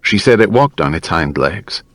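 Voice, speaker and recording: A man's voice: low-pitched, expressive, moderate pace, a bit bright, no room sound. A man voicing a worried father in an audiobook, speaking plainly and earnestly. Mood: concerned.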